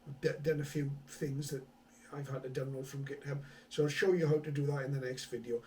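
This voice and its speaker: A man's voice: medium pitch, fairly even intonation, a little fast, mellow, far from the mic. A man presenting a hobby video in a casual, conversational explaining style, with some hesitant thinking aloud. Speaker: hobby radio vlogger, presenter. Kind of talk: casual tech explainer to camera.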